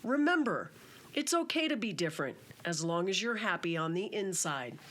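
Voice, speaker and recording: A woman reading a children's story aloud as its author, in a simple, measured narrating style. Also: lowish voice, sing-song, fairly bright tone.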